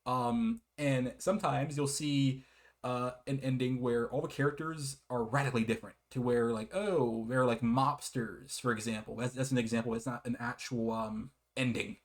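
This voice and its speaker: A man's voice: medium pitch, moderate pace. A man casually hosting a game review, often chuckling as he rambles, backtracks and corrects himself. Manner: hesitant, self-correcting, often repeats and backtracks; chuckles often while talking. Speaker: video game reviewer and host.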